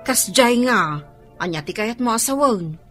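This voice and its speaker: A woman's voice: a little high, lively intonation, unhurried, fairly bright tone, faint music behind. A woman with an Ilocano accent playing a gossiping maid in a radio drama.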